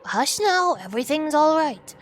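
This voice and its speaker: A woman voicing an eager teddy bear companion in a game, coaxing and reassuring in drawn-out theatrical phrases. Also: high-pitched, big pitch swings, a little hiss or hum. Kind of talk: video game character dialogue.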